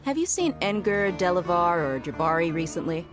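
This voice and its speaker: An actress playing a confident, sardonic mercenary with a cool, casual manner in a video game. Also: female voice, lowish voice, very animated, normal speed, music in the background. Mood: easygoing. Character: confident, wry female desert mercenary, cool under pressure. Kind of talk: anime-style video game voice acting.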